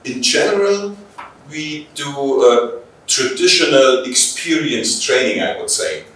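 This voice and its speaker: A man's voice: fairly high pitch, very animated, measured pace, a bit bright. A man from Bavaria with a German accent, a police trainer answering interview questions in English, hesitantly searching for words. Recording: echoey room, distant microphone.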